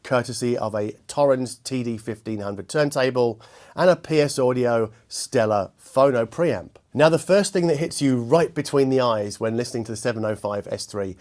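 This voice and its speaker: A man with a mid-pitched voice presents an equipment review. He speaks in a measured, articulate way and chooses his words with precision, addressing viewers as the host. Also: a little mellow. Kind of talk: spoken product review to viewers.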